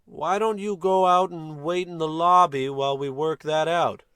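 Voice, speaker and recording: An actor playing a pompous, dim-witted, bossy American spaceship captain in a comedic old-style radio play. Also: male voice, fairly high pitch, fairly even intonation, normal speed, a bit bright, clear, resonant tone, dry recording, studio quality, lightly acted. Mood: smug, commanding. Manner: pompous, bossy, self-important.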